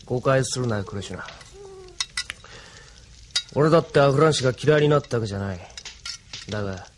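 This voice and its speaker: An actor playing a gruff, sardonic but loyal male resistance fighter with coarse speech in an anime audio drama. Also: mid-range voice, fairly quick, noisy background, natural voice. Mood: stern.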